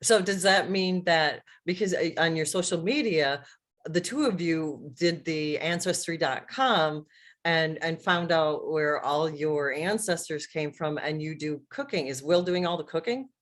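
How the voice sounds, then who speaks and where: A woman's voice: low-pitched, unhurried. A mature woman conducting a casual, conversational interview over a video call. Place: indoor room with bookshelves, video call. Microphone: video call microphone.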